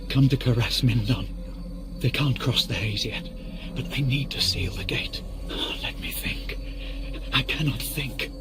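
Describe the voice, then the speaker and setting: Man acting weary, solemn mystic, mid-pitched. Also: a little slow, over music, lightly acted. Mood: weary, urgent pleading. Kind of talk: dramatic video game acting.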